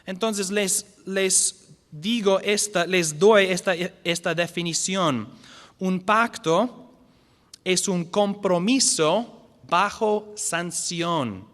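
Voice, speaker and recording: A man who is a non-native speaker, teaching a church lecture series as pastor, earnest, often restarting words and slowly repeating key definitions. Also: high voice, a bit bright, long reverb tail. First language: not the language spoken. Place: church hall.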